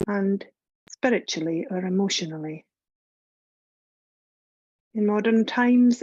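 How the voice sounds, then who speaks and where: A woman leading a guided meditation as a yoga teacher, speaking in a soothing, unhurried, instructive way. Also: mid-range voice, mellow, dry recording, lo-fi sound. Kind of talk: guided meditation, scripted narration.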